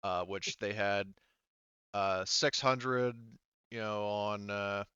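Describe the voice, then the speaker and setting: Bright low-voiced man running a game. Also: steady tone, slow, smooth, no room sound, highs cut off. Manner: casual, sweary. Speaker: game master. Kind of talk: casual game narration.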